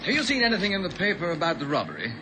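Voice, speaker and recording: A man's voice: high voice, huge pitch swings, very fast. An actor playing a scornful, domineering young man in a radio drama, with a condescending, glib way of talking. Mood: offhand, irritable. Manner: condescending, sarcastic, chummy old-fashioned phrasing. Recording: noisy old radio drama recording.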